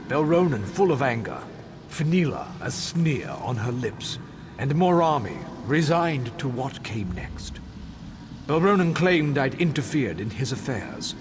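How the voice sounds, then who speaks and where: A man's voice: mid-range voice, big pitch swings, unhurried. A man playing a dutiful, humbly loyal temple spy in a fantasy game, speaking with formal, earnest deference. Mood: remorseful, grave. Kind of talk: scripted fantasy game dialogue. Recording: game ambience noise behind.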